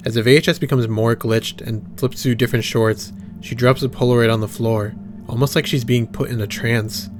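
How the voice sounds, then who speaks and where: A man giving casual, wry, sweary commentary and reactions on a horror series. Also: mid-range voice. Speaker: video essayist and reactor. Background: background music.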